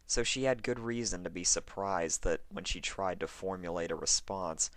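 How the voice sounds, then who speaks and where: Low, bright-voiced male story narrator. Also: moderate pace, no echo. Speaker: fan fiction narrator.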